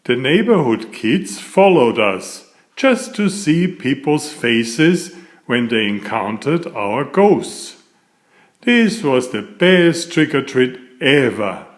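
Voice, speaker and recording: A man reads a children's picture book aloud at an unhurried pace. His delivery is expressive and deliberate, with pitch that moves widely around a middle register. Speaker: author reading aloud.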